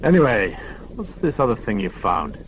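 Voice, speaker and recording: A man's voice: fairly low pitch, big pitch swings, moderate pace, slight background noise, low-quality recording. A man reading an audiobook, voicing a dry, sardonic middle-aged detective inspector. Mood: impatient.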